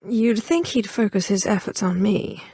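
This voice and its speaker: A woman's voice: mid-range voice, expressive, moderate pace, dark tone, breathy edge, no echo, clean studio sound. A woman voicing a ruthless, sardonic Sith sorceress in a video game, haughty, cool and ambitious. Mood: determined, businesslike. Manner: haughty, cool, dryly sarcastic. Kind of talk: scripted video game voice acting.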